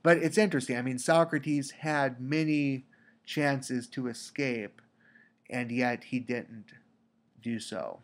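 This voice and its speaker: A man with a cold, sounding congested, giving a recorded lecture as a teacher, talking deliberately with frequent restarts. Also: mid-range voice, steady tone. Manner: slow, deliberate, with repeated restarts. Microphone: computer mic for a screen recording.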